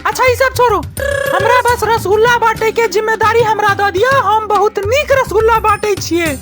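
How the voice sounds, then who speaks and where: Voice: extremely high pitch, normal speed. An actor voicing a brash, pushy young village boy in a comic cartoon, gossipy and taunting, speaking with a Maithili accent. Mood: mocking, boastful. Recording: music behind the voice.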